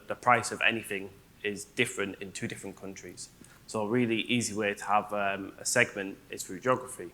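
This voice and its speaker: A man's voice: on the low side, sharp tone. A man speaking on a conference panel as a business manager, explaining his points step by step and often checking in with "right?".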